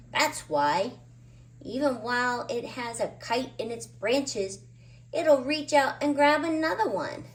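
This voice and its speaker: A woman's voice: high-pitched, pitched above the performer's own voice. A woman voicing a frustrated, determined young boy in an emphatic, exasperated storybook read-aloud.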